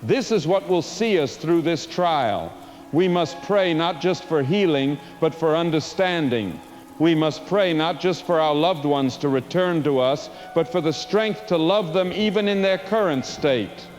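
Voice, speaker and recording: A man's voice: fairly high pitch, a little mellow, natural voice. A man playing a solemn small-town pastor preaching earnestly and rhetorically to a frightened flock in an audio drama. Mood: hopeful, urging. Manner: earnest, rhetorical, measured oratory.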